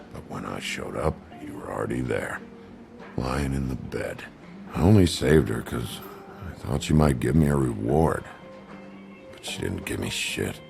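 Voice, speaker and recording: A man playing a gruff, down-and-out woodsman, a bitter and self-loathing brute with a gravelly voice, in a dramatic video game. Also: a bass voice, exaggerated voice. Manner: coarse, swearing, rambling.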